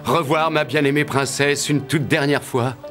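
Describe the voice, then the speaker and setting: An actor playing a brave, courteous young soldier in an animated tale, polite and assured. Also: male voice, a little high, normal speed, over music, close to an everyday voice. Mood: confident. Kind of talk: animated film acting.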